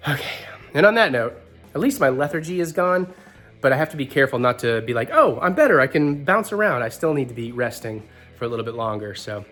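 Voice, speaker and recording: A man's voice: medium pitch, lively intonation, fast talker, a bit bright, faint music behind. A man with a stuffy head cold, talking casually and rambling on his vlog, often laughing. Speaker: vlogger.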